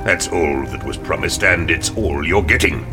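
An actor playing a smug, menacing businessman in an audio drama, unhurried, condescending and mock-polite. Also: low-pitched, over music, lightly acted. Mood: smugly satisfied.

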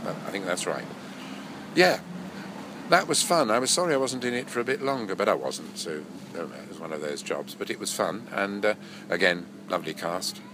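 An older actor and voice-over artist, nasal from a cold, reminiscing in a rambling, self-correcting way during an interview. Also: male voice, low-pitched, animated, normal speed, noisy background.

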